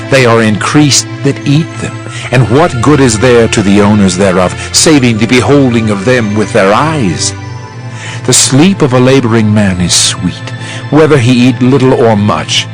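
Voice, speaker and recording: A man playing a solemn Preacher in a dramatized King James Bible reading, measured and oratorical in delivery. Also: medium pitch, lightly acted, rough recording. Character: solemn male Preacher reflecting on vanity and God. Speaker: dramatized scripture reader.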